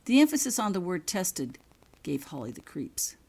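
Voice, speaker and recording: A woman reading her own fiction aloud as expressive audiobook-style narration. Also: lowish voice, normal speed, husky. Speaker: author reading own story.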